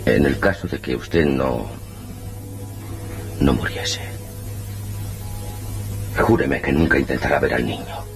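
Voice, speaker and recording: A man's voice: extremely low pitch, heavily acted. A man playing a grave, solicitous doctor in old-style radio melodrama, solemn and at times hesitant. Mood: solemn insistence. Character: grave, solicitous male doctor with a secret plan. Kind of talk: melodramatic old Spanish radio serial acting.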